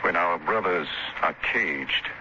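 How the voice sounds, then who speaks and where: A man's voice: sits low, takes it slowly. A man in a 1950s radio drama playing an eccentric, fervent desert preacher who speaks in a declamatory way. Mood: solemn, fervent. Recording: noisy, muffled 1950s radio recording.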